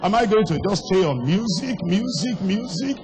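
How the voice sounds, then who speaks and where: Hoarse older preacher, high-pitched, in echoing hall. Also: male voice, lively intonation, normal speed. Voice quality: strained, worn voice. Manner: exhorting, repeating phrases, rhetorical questions. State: hoarse, voice going. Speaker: pastor. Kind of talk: impassioned evangelistic sermon. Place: large hall, preaching to a congregation. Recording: long echoing reverb of a large hall.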